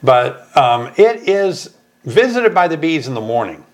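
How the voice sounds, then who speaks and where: Easygoing man with a lively voice. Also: on the high side, a little slow. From Tennessee. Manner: easygoing, rambling, folksy. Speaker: beekeeping channel host. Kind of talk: casual chat.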